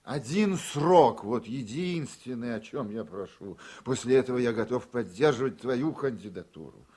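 An actor playing a proud, aging senator in a Russian radio drama, paternal and needy, with drawn-out emphatic speech. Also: male voice, mid-range voice, lively intonation, dark tone, husky. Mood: pleading, reproachful. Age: older.

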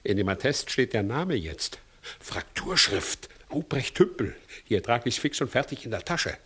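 An actor playing a shifty, scheming middle-aged village judge in a 1960s radio comedy, husky, wheedling and conspiratorial. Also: male voice, mid-range voice, very animated, a little slow, a bit bright, full character voice. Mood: sly threatening. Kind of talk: 1960s German-language radio play, classical comedy acting.

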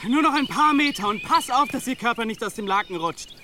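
An actor playing a bossy, pragmatic young monk with an impatient, commanding manner in a German radio drama. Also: male voice, very high voice, lively intonation, a little fast, noisy background. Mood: strained, commanding.

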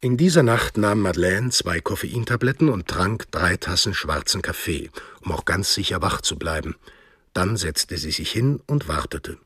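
A man's voice: low-pitched, lively intonation, normal speed, a bit bright, breathy edge, dry recording, studio quality. A man reading scripted literary narration as the narrator of a radio play.

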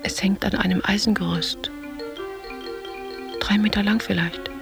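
An actress playing an introspective, haunted woman who speaks in hushed, breathy fragments, as an inward monologue in a literary radio play. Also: mid-range voice, fairly even intonation, measured pace, over music. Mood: dreamy, uneasy. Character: introspective woman recalling childhood and love, haunted, searching.

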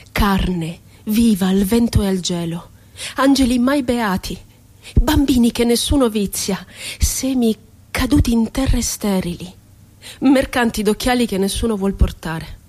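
A woman's voice: medium pitch, darkish tone, natural voice. An actress playing a passionate, wounded woman poet shut away in an asylum, musing aloud with irony and tenderness in a theatrical radio monologue. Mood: tender, wistful. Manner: dramatic, ironic, shifting between tender and indignant.

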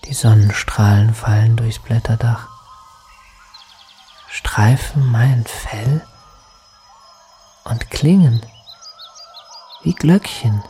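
An actor voicing a sorrowful, bewildered lost soul in a poetic radio drama, speaking in hushed, halting fragments and often whispering. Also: medium pitch, faint music behind. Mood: dreamy, bewildered.

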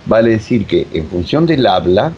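An Argentine man explaining as an interview guest, speaking haltingly and repeating words as he searches for phrasing. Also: fairly low pitch, big pitch swings. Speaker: interview guest, radio drama director. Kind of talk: spontaneous explanatory interview talk. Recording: dull lo-fi audio, clear noise.

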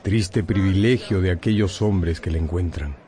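An actor playing a suave, cynical rich bachelor in a radio drama, smooth, insinuating and theatrically gallant. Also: male voice, deep voice, moderate pace, mellow, natural voice. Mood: wistful seduction.

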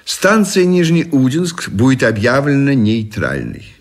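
An actor playing a pompous, formal Allied general in a radio play, declaiming official terms in a husky voice. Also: male voice, medium pitch, wildly swooping pitch, slow pace, heavily acted. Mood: official, assured.

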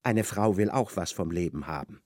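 A man's voice: deep voice, moderate pace, a little mellow, no room sound, clean studio sound. A man narrating a literary radio play in a theatrical manner, retelling conversations in reported speech.

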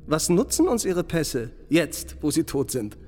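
An actor playing an evasive, self-satisfied small-town mayor in a radio drama, oratorical and blandly bureaucratic. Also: male voice, on the high side, very animated, a little slow, a little mellow. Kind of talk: German radio drama acting. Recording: long hall reverb, music underneath.

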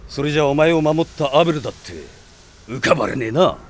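An actor playing a blunt, gruff male mercenary with a rough, confrontational way of talking in a radio drama. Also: on the high side, fast talker, slight background noise, lightly acted. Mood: stern.

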